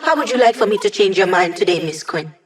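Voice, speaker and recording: A cheeky holographic hacker girl played by a woman for a video game. She is brainy and wry, with a breezy, casual way of talking and a medium-pitched voice. She sounds like playful tech support for a squad of fighters. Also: expressive, quick pace, natural voice. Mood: wry, helpful.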